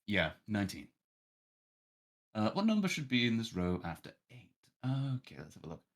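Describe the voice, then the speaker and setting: A man's voice: on the low side, huge pitch swings, normal speed. A man livestreaming a game, reading aloud and commenting in a theatrical, jokey way, talking to himself. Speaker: streamer playing a visual novel.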